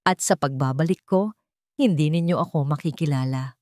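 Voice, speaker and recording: Proud young mother, firm and steady as a woman narrator reads her, low-pitched, dry close sound. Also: moderate pace, no echo, natural voice. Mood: resolute. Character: proud, wounded young mother turned resolute.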